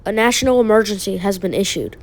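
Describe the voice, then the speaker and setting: A woman's voice: mid-range voice, fast talker. A woman hosting a video essay, giving explanatory commentary on a horror video.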